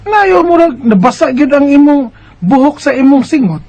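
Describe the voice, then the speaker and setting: A woman with a Cebuano Bisaya accent playing a concerned, nagging, skeptical mother in a radio drama, with a husky voice. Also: sits high, expressive, normal speed, warm tone, highs cut off. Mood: scolding. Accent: Cebuano Bisaya Filipino. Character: concerned, scolding, skeptical mother of a teenage girl.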